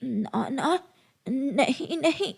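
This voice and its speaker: A woman voicing a frail, anxious elderly crow grandmother in a cartoon story, speaking haltingly and repeating her words. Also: high voice, animated, gravelly, darkish tone, breathy edge, exaggerated voice. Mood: nervous refusing. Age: older.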